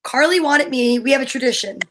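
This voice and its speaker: An American woman with a distinctly high-pitched voice talks casually and bluntly, stressing her opinions. She sounds like a talk show co-host in easy conversation. There is some faint noise and clicking on the recording. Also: brisk, fairly bright tone. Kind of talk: casual chat.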